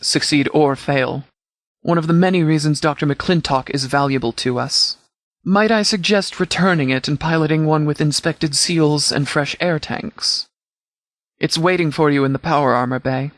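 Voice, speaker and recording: Man acting as formal, commanding sheriff, higher-pitched voice. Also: a bit bright, no echo, close to an everyday voice. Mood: composed, approving. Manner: formal, measured, military briefing delivery. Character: commanding, disciplined sheriff leading a militia, stern and protective. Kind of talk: scripted video game dialogue.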